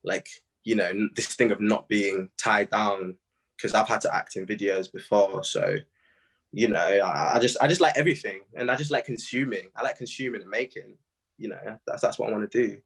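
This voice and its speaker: A young British man in a casual interview, telling stories in slangy, animated speech full of quoted asides. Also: fairly low pitch, moderate pace, breathy edge. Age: young adult. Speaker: music artist being interviewed.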